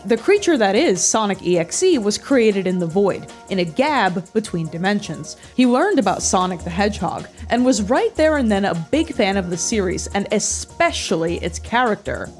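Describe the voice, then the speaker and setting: A young adult woman narrating a scripted, wry video essay as a YouTube host, with deadpan, sarcastic emphasis, on a close microphone. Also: mid-range voice. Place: small bedroom-style home studio. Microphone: close desk microphone. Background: background music.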